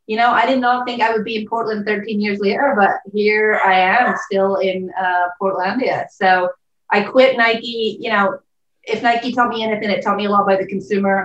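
A woman with a Northern Irish accent, chatting upbeat as a podcast guest. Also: mid-range voice. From Northern Ireland. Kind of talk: podcast interview, remote. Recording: echoey, distant, muffled call audio.

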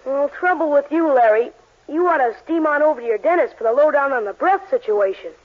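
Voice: high voice, expressive, fairly quick. A performer playing a brash, slangy teenage boy in a 1940s radio commercial. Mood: knowing. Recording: tinny vintage radio recording.

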